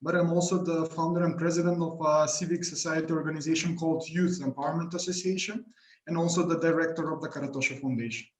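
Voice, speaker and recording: A man's voice: a little high, steady tone, quick pace. A man in his twenties from North Macedonia introducing himself as an interview guest. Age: 20s. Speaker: guest youth ambassador and student. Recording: echoey, roomy sound.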